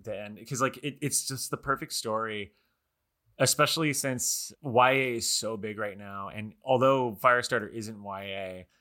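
A man's voice: lowish voice, normal speed, bright, forceful tone. A man hosting a casual pop-culture podcast, talking enthusiastically and often restarting his sentences. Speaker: podcast host.

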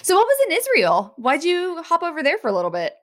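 A woman's voice: extremely high pitch, huge pitch swings, fast talker. A woman hosting a casual podcast interview, with an effusive, informal way of talking. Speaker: podcast host.

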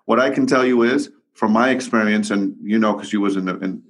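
Emphatic low-voiced podcast host. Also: male voice, a little fast. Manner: energetic and emphatic, repeats himself and restarts phrases. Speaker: podcast host, real estate investor. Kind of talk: casual interview talk.

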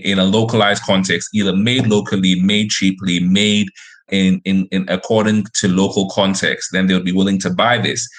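A man's voice: deep voice, fairly bright tone. A man giving an analytical, structured explanation as a speaker on an investment panel. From Africa.

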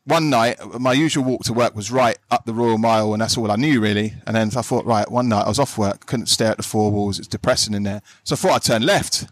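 A man's voice: on the low side, a little fast, few pauses, dry recording. An English man, a podcast guest, chatting casually and telling stories. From England. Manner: chatty storyteller, says "do you know what I mean" often.

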